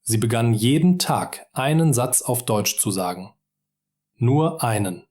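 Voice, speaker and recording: A man's voice: mid-range voice, animated, a little slow, darkish tone. A man narrating a simple story for German learners, speaking directly and encouragingly to the listener.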